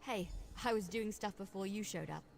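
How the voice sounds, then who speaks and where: An actress voicing a brisk, wry young vampire investigator in a fantasy video game, speaking with conversational confidence. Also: female voice, medium pitch, creaky, a little hiss or hum, natural voice. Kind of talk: video game character dialogue.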